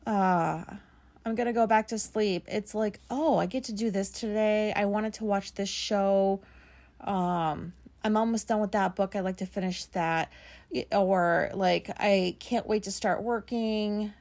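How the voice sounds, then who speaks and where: A woman in her thirties with a raspy voice, talking casually and rambling on her solo podcast, with many fillers and some swearing. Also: mid-range voice, steady tone, measured pace, darkish tone. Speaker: podcast host.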